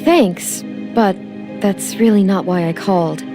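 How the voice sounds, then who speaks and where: An actress playing a strained, earnest wife in a video game drama, conciliatory and pleading. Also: female voice, medium pitch, a little slow, natural voice. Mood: uneasy. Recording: loud music bed.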